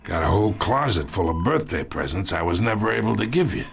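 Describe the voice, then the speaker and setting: Old wounded crime boss, deep creaky voice, taunting drawl, falsely chummy, video game. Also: male voice, expressive, fast. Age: older. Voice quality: gravelly. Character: ageing wounded mob boss, sly, menacing, mock-familiar. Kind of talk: dramatic video game acting.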